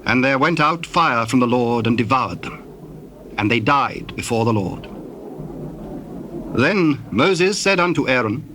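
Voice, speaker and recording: A man narrating scripture from a script in a formal, solemn and deliberate manner. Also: medium pitch, lively intonation, background noise.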